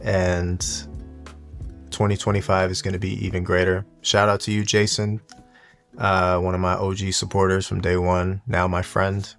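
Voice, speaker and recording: A man talking casually and reflectively in a monotone, low-key, unhurried way, like a personal video diary for his hobby channel. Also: creaky. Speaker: YouTube watch collector and content creator. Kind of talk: casual reflective solo vlog talk.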